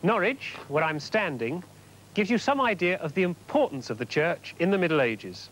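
A young man presenting a history documentary, explaining clearly in scripted narration. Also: high voice, sing-song, moderate pace, a bit bright, slight background noise, poor audio. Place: outdoors by a cathedral. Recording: narration over music.

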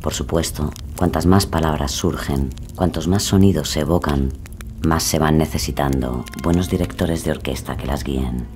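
A man narrating an atmospheric scripted introduction to a story, in a deliberate, suspenseful manner. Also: rumbling low, darkish tone, slight background noise.

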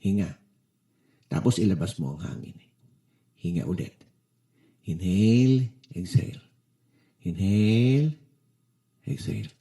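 A man's voice: low-pitched, slow, pauses often, warm tone. A man with a Filipino accent playing a friendly, joking children's doctor in a storybook. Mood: attentive. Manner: cheerful, reassuring.

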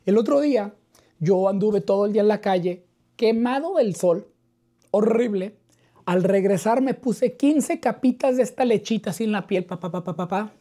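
A hoarse man presenting a skincare vlog to camera, chatting in an animated, playful and chummy way. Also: high voice, normal speed. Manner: animated, playful, chummy with viewers, uses diminutives. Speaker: skincare video host. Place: furnished living room indoors. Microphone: clip-on lapel mic.

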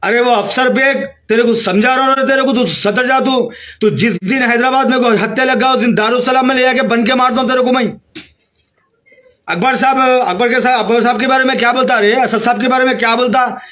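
Hyderabadi guy in his 50s, really high voice, angry scolding rant into his phone, keeps repeating himself. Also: a little fast, a bit bright. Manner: agitated, scolding, repetitive. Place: small room indoors.

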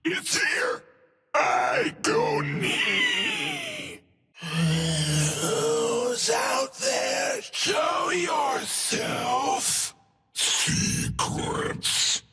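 A man's voice: very high voice, huge pitch swings, very slow, raised voice, music in the background, exaggerated voice. A man voicing a monstrous demon scarecrow in a video game, mimicking terrified victims in distorted, broken, theatrical outbursts. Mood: desperate, haunting. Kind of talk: video game character voice lines. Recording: long cavernous reverb.

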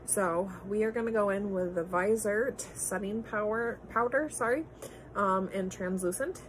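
A woman's voice: medium pitch, measured pace, a little hiss or hum, poor audio. A woman in her thirties chatting through a makeup tutorial in her bedroom, slightly nasal from congestion, rambling with many ums and apologetic asides, filmed on a close phone camera. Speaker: beauty vlogger. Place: bedroom at home, indoors. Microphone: phone camera held close.

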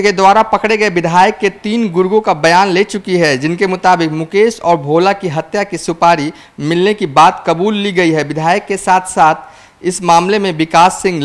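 A young male reporter delivers a news report to camera in a studio, speaking into a handheld mic. His voice sits high for a man, and he reads in a brisk, urgent way, now and then restarting a phrase. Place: newsroom studio.

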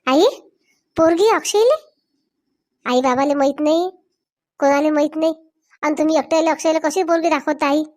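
A woman's voice: high-pitched, normal speed, long pauses, darkish tone, dry recording, clean studio sound, close to an everyday voice. A woman voicing chatty, meddling village women in a Marathi comedy cartoon, with a rural Varhadi accent and colloquial, exclamatory talk. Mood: coaxing. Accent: rural Maharashtra, Varhadi Marathi.

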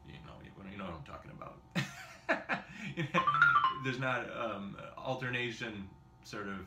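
Thirties male teacher, chatty and self-deprecating, recorded on camera in an echoey kitchen with xylophone notes behind him. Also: lowish voice. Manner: rambling, self-deprecating, with frequent fillers and laughs. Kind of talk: casual music lesson. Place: home kitchen. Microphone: camera in front at a short distance.

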